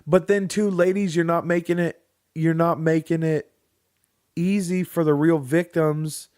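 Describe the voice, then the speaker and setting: A man's voice: a little high, fairly even intonation, a little slow, darkish tone, dry recording, clean studio sound. A man co-hosting a casual podcast, reading a news article aloud with joking, sarcastic asides. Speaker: podcast co-host.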